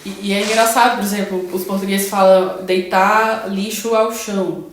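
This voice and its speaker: A woman's voice: mid-range voice, fairly even intonation, normal speed. Brazilian woman teacher chatting, lots of restarts and "né?", big echoey room, faint hiss. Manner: hesitant, many restarts and tag questions. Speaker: Portuguese heritage-language teacher in Germany. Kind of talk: casual podcast conversation.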